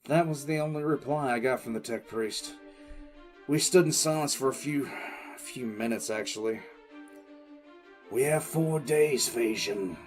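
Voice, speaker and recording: A man's voice: medium pitch, a little slow, long pauses, faint music behind, lightly acted. A man playing a grim, battle-weary military commissar, narrating dramatically in the first person for an audio drama. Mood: grim, steady.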